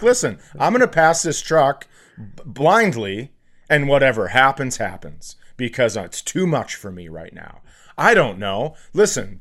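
A man's voice: a little high, a bit bright. A middle-aged American man telling stories casually on a comedy podcast, profane and exasperated as he mocks himself. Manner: profane, exasperated self-mocking rants.

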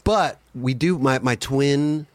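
A male podcast host chatting casually and loosely, his voice slightly raspy from a sinus cold. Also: medium pitch, slow pace, no room sound. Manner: loose, laid-back, peppered with "dude" and "man". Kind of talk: casual chat. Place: studio.

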